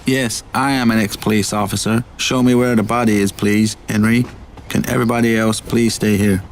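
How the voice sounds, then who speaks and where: Casting: a man as earnest 1930s railway official in amateur radio mystery, gravelly edge, some background noise. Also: on the low side, level tone, normal speed, lightly acted. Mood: authoritative. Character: routine-minded train conductor and authoritative ex-policeman, 1930s mystery. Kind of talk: amateur radio play acting. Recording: noisy amateur radio play recording.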